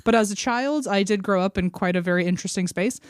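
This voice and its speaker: A woman's voice: mid-range voice, fast, clean tone, no echo, studio quality. A young adult woman, a podcast guest, telling personal stories in casual conversation. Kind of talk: casual chat, personal storytelling.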